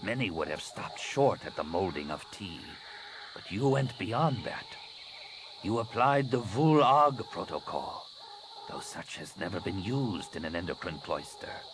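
A man voicing a stern alien woman of rank, a master scientist, speaking in a slow, hushed, imperious way for a story. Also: low-pitched, animated. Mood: probing, grave. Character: stern female alien master scientist nearing middle age. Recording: clearly noisy recording.

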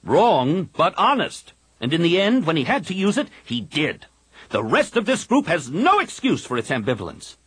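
A man voicing a defiant young male Jedi pilot, with an insistent, forceful delivery. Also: fairly high pitch, huge pitch swings, normal speed, husky, no room sound, voice pushed up, heavily acted. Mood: scornful.

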